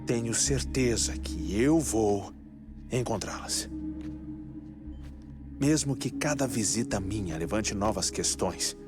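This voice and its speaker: Low-pitched middle-aged scientist, Brazilian accent, melancholic, obsessive, theatrical musing, game cutscene, music behind. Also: male voice. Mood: wistful resolve. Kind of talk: dubbed video game cutscene acting.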